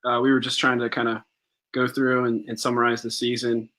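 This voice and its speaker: A man's voice: mid-range voice, completely flat delivery, a little fast, bright, forceful tone. A man co-hosting a podcast in casual live-stream chat, easygoing and agreeable, reading out viewer comments. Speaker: podcast co-host.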